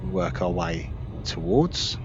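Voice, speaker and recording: A man's voice: low voice, sing-song, slow, dull audio, noisy background. A man hosting a gameplay video, explaining things informally in casual commentary. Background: spaceship game sounds.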